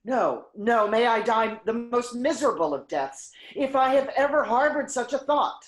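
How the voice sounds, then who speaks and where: A woman's voice: a little high, lively intonation, normal speed, natural voice. A woman playing a loyal, conciliatory counselor to a king in a staged Greek tragedy, speaking with earnest formality. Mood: fervent.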